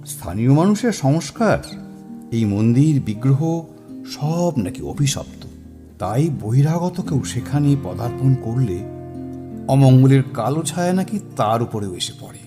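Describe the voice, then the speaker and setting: An actor playing a worldly older mentor in a Bengali radio drama, speaking with a Bengali accent and a slow, dramatic delivery. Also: male voice, mid-range voice, sing-song, music in the background. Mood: measured. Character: worldly older man, storyteller, mysterious. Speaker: older male mentor figure called Miska.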